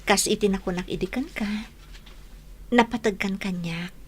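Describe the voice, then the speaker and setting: A woman's voice: medium pitch, moderate pace, a little mellow, close to an everyday voice. Actress as a modest, earnest young woman. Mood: sincere, shy. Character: modest, sincere hardworking young woman being courted. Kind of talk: Ilocano radio drama acting.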